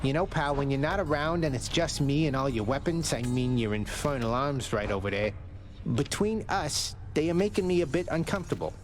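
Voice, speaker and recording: An actor playing a chatty, chummy, jittery undead sidekick in a video game, with a folksy rambling manner. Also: male voice, medium pitch, fairly quick, natural voice. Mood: nervous confiding. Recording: music behind the voice.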